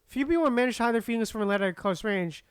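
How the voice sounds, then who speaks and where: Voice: mid-range voice, lively intonation, quick pace, smooth, no echo, studio quality. A streamer giving casual, laid-back let's-play commentary and reading game text aloud. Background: game music.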